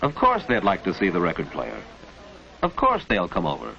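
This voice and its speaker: A knowing American narrator of a postwar guidance film, low and creaky. His instructive, deliberate delivery swings widely in pitch, on a dull vintage soundtrack. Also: huge pitch swings, bright, forceful tone, exaggerated voice. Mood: approving, instructive. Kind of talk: 1940s educational film narration.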